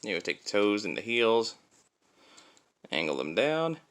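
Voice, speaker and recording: A man's voice: on the low side, sing-song, unhurried, a bit bright, clear, resonant tone. A man talking informally through a hands-on toy review, explaining things step by step. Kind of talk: casual hands-on product review.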